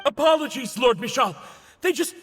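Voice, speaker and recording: Shrill, panicked male underling. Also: lively intonation, normal speed, a bit bright, long reverb tail, full character voice. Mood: panicked, apologetic. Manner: frantic, pleading. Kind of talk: video game voice acting.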